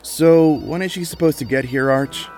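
A man's voice: a little high, normal speed, close to an everyday voice. A man playing a courteous lawyer in a comic audio drama. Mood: polite. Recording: music behind.

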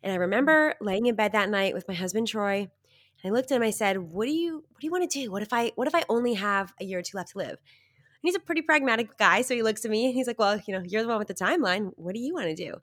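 A woman in her thirties from Canada, a guest telling her story in an upbeat, animated way, slightly nasal from a cold. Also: mid-range voice, fast talker. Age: 30s. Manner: upbeat, animated, laughs often. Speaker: guest, success coach. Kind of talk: conversational storytelling.

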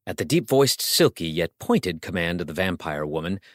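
A man's voice: deep voice, big pitch swings, moderate pace, dry recording, studio quality. A man narrating a comic story as a scripted audiobook, theatrical and wry, savoring his phrases.

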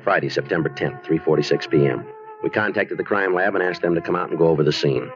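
An actor playing a businesslike American police detective who narrates his case in a deadpan, clipped manner in a 1950s radio drama style. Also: low voice, quick pace, close to an everyday voice. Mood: matter-of-fact. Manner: deadpan, clipped, matter-of-fact. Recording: muffled old radio recording, music behind.

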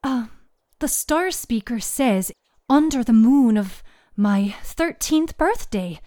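A woman acts a girl of twelve in a narrated audio drama. She sounds earnest and proud, with youthful eagerness and a little hesitation. The tone is soft and mellow. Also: on the high side, animated, takes it slowly, no room sound, clean studio sound, pitched above the performer's own voice. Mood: proud, eager.

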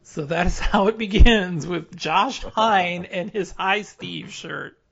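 A man's voice: sits high, slow pace, vocal fry, fairly bright tone, husky. A man from Minnesota hosting a casual podcast recap, laughing often and talking with plenty of "uh" and "so". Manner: chuckling, filler-heavy storytelling. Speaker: podcast host. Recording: dull lo-fi audio.

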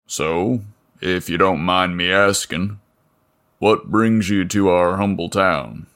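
A man voicing a burly, rough-voiced small-town mechanic who talks in a deep, friendly drawl. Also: slow, fairly bright tone.

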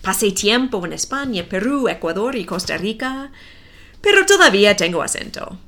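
A woman in her forties with a non-native accent, reading a simple story slowly and carefully for language learners. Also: medium pitch, sing-song, fairly bright tone. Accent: non-native Spanish accent. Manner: careful, deliberate reading with clear pauses. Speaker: Spanish teacher.

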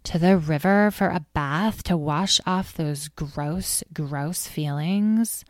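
A woman's voice: medium pitch, measured pace, darkish tone, no echo, clean studio sound, lightly acted. A woman doing a dreamy, spacey fairy. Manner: dreamy and drifting.

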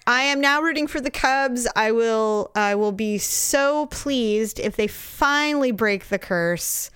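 Hoarse middle-aged lady chatting away, kinda high and smooth, dry close mic. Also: slow, no echo. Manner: chatty, animated storyteller, laughs often. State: hoarse, recovering voice. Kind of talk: casual chat.

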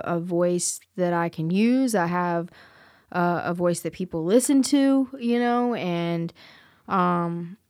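Smooth-voiced woman talking candidly. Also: on the low side, dry recording, studio quality. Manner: earnest, candid, many fillers and restarts. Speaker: singer, podcast guest. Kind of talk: casual interview chat.

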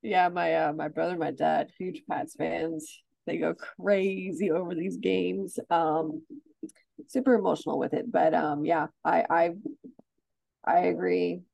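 Female co-host, slow and hesitant, gravelly mellow voice, dry sound. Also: on the low side, fairly even intonation, no room sound, highs cut off. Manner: hesitant, many ums. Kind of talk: casual betting talk.

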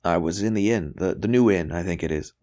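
A man acting a hushed, guarded captive priest. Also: sits low, natural voice. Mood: hesitant, evasive. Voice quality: hushed, breathy murmur. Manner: halting, guarded answers. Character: captive young priest under torture, evasive, frightened but steadfast.

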